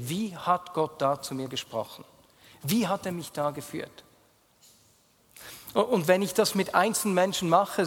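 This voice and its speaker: Swiss German pastor in a reverberant hall. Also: male voice, breathy edge. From Switzerland. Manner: earnest, addresses listeners directly, voice drops low in prayer. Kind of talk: sermon.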